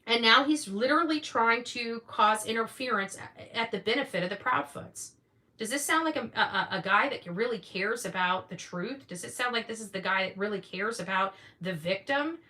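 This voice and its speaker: Female online commentary host, bright forceful voice, blunt and combative in casual monologue. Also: fairly high pitch, moderate pace. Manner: blunt, combative, repeats phrases for emphasis. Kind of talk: casual opinionated monologue to viewers.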